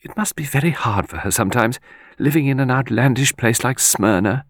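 A man's voice: medium pitch, a little fast, no echo, clean studio sound, close to an everyday voice. Man voicing a meek, flustered lady's companion. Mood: timid, sighing. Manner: hesitant, apologetic, murmuring. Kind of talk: audiobook character voice.

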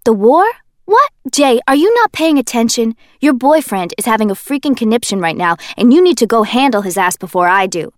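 A woman playing a brash young man in a storybook, always loud and fed up, crude and mouthy. His voice jumps high and lively as he protests. The sound is dry and close. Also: a little fast, no room sound. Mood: indignant. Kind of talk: audiobook character voice.

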